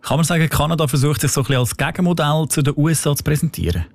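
A man with a Swiss German accent interviews a correspondent on the radio. He asks his questions quickly and conversationally, in a voice of middling pitch. The sound is dry and close. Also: no echo.

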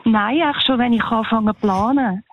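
Swiss german lady chatting as a guest, highish voice, dry muffled radio sound. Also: brisk. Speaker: interview guest. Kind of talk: casual personal storytelling.

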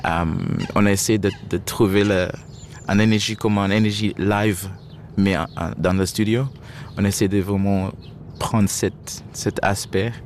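A man's voice: on the low side, little pitch movement, unhurried, slight background noise. A man, a Shona speaker, answering interview questions hesitantly and searching for his words in French. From Zimbabwe. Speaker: musician guest.

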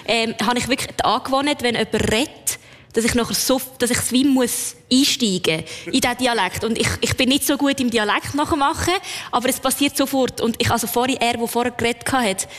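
A woman from Lucerne with a Central Swiss German accent, a comedian telling stories in a lively, giggly way. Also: a little high, fairly quick, big-room sound. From Lucerne, Switzerland. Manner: lively, giggly, animated storyteller. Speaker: comedian guest. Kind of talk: interview chat.

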